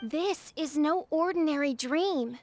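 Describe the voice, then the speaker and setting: Very high, pompous princess girl. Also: slow pace, darkish tone, soft background music. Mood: grandly ominous. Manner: pompous, theatrical, archaic diction. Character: grandiose teenage girl, self-styled dark princess. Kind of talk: dramatic video game voice acting.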